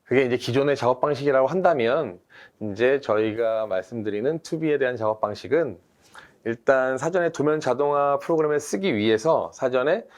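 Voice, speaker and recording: A man's voice: medium pitch, lively intonation, a little fast. Male engineer, mid-range voice, walks through the process patiently with fillers. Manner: detailed step-by-step explaining, frequent fillers. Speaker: BIM design engineer. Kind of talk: webinar technical explanation.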